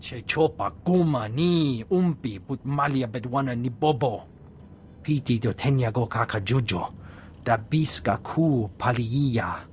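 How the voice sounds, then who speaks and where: A man's voice: medium pitch, animated, measured pace, a little hiss or hum, close to an everyday voice. A man voicing an alien character in a video game, speaking in an invented alien tongue. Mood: matter-of-fact.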